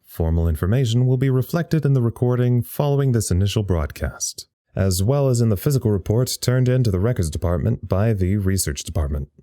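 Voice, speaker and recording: An actor playing a bored, sardonic communications officer at a secret lab, wry and rambling in naturalistic audio drama style. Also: male voice, lowish voice, lively intonation, fairly quick, few pauses, warm tone, no echo, studio quality, natural voice. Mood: focused reporting.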